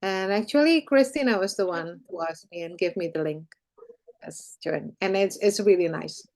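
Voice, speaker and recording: A woman's voice: lowish voice, normal speed, a little mellow, no echo. Earnest woman, hesitant, dry close sound. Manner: hesitant, many restarts, earnest. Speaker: club member. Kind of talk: congratulatory speech.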